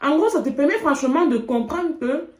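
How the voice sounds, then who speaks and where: A woman from Cameroon, chatting reflectively in a casual couple vlog, with frequent pauses. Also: high-pitched, expressive, reverberant. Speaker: vlogger.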